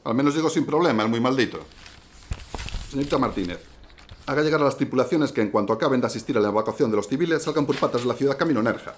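Man acting a brisk, harried Spanish lieutenant. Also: medium pitch, slight background noise, lightly acted. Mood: exasperated, commanding. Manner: brisk, commanding. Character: harried, wry Republican naval lieutenant giving orders. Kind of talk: naturalistic audio drama acting.

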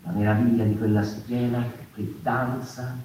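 A man acting reflective theatre monologuist, fifties, dark mellow tone, halting repetitions, intimate yet impassioned, noisy recording. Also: medium pitch, level tone, natural voice. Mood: wistful, musing. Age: 50s. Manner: reflective, halting, repeats words and phrases. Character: impassioned fifty-year-old stage performer musing on freedom. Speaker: stage actor. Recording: echoey, distant, noisy stage room.

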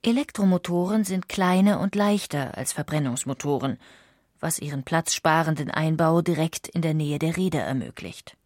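A woman reads scripted documentary narration, articulating clearly as she explains. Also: sits low, moderate pace, darkish tone, no room sound, clean studio sound.

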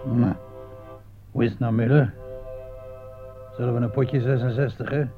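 An actor playing an easygoing soldier in a radio play, chummy and matter-of-fact. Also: male voice, medium pitch, steady tone, moderate pace, music in the background, natural voice. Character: easygoing male soldier playing cards in a dugout. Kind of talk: radio drama dialogue. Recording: tinny, hissy old radio recording.

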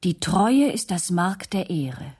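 Casting: detached slogan-reciting narrator, radio play; dark, breathy voice, declamatory. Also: female voice, on the low side, very animated, slow pace, full character voice. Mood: cool, detached. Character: cool female narrator reciting maxims and slogans. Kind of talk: scripted radio drama narration.

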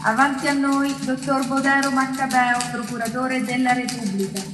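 A man's voice: very high-pitched, little pitch movement, normal speed, over music. An actor playing a formal, officious male court official, proclaiming a record in a bureaucratic stage delivery. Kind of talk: declaimed stage drama. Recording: echoey hall, far from mic.

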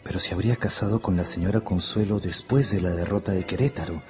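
An actor playing a polite, anxious yet self-assured young historian in a radio drama. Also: male voice, low voice, fast, darkish tone, soft background music, lightly acted. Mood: musing.

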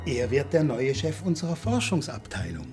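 An actor playing a ruthless, polite businessman villain in a German radio drama, speaking with measured, pompous courtesy that veils a threat. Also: mid-range voice, very animated, darkish tone, lightly acted. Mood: zealous, cold. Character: suave ruthless businessman villain, polite, menacing, scientifically zealous. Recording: studio radio drama with music behind.

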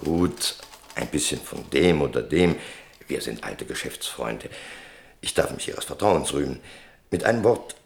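A self-important merchant in a radio drama, with a very low, gravelly voice. He lectures and insinuates, swinging widely in pitch and leaning slowly on key words as he slips out of any commitment. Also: expressive, full character voice. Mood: sly, evasive. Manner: lecturing, insinuating, drawn-out emphasis. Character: evasive, self-important merchant Don Fernandez. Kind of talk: German radio play acting.

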